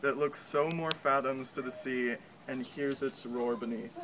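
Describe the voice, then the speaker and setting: A man's voice: medium pitch, steady tone, measured pace, close to an everyday voice. A man playing an anxious, loyal friend of a prince in an amateur Shakespeare drama, speaking earnestly and urgently. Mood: alarmed. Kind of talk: amateur stage reading of Shakespeare. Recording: clearly noisy amateur recording.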